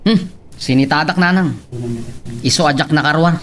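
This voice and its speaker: A woman's voice: low-pitched, moderate pace, a little hiss or hum, close to an everyday voice. A woman acting a sullen, complaining relative from a poor household in an audio family drama. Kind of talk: Ilocano radio drama acting.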